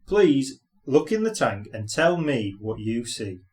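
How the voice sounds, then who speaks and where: A man voicing a polite, hesitant railway inspector with a deferential, measured manner in a storybook. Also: mid-range voice, very animated, a little mellow. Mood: businesslike.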